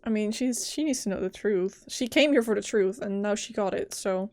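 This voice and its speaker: A woman's voice: medium pitch, normal speed, darkish tone. A woman game streamer giving casual live commentary, reacting informally as she plays.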